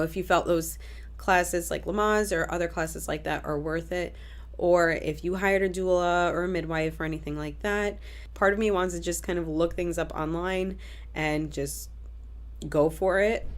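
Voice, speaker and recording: Stuffy-nosed young woman vlogging casually. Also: lowish voice. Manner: chatty, relaxed, lots of fillers and restarts. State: stuffy nose from a cold. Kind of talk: casual pregnancy update vlog.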